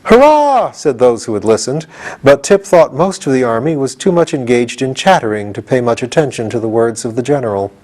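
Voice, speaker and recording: A man's voice: mid-range voice, a little fast, a little mellow, rough recording. A man reading a storybook aloud as scripted audiobook narration. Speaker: audiobook reader.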